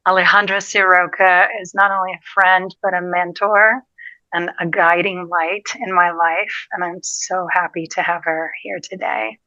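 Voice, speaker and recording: A woman's voice: on the low side, steady tone, normal speed, pushed and bright, dry recording, muffled recording. A woman hosting a podcast, introducing her guest in a friendly way. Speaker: podcast host. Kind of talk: podcast interview.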